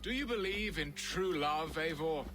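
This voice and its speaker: A man's voice: on the high side, lively intonation, measured pace, pushed and bright. A man acting a melodramatic, self-deprecating Saxon lord in a video game, with flowery, wistful romantic speech. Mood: wistful, dramatic. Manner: theatrical, flowery, musing speech. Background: game music.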